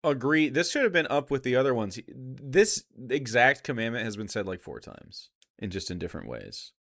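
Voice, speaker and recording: A man's voice: medium pitch, very animated, moderate pace, vocal fry, a bit bright. A man giving casual, argumentative live commentary as a streamer, blunt and sarcastic, with plenty of swearing. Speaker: streamer reacting to a video.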